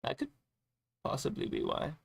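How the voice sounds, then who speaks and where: A tired man streaming a game, chatting loosely with a raspy edge and casual swearing. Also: moderate pace. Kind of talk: casual gaming stream chat.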